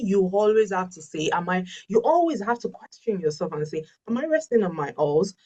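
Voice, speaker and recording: A woman's voice: medium pitch, recorded from a distance, rough recording. A Yoruba-speaking woman, a life coach answering webinar questions earnestly and emphatically, often repeating her key phrases. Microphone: video call.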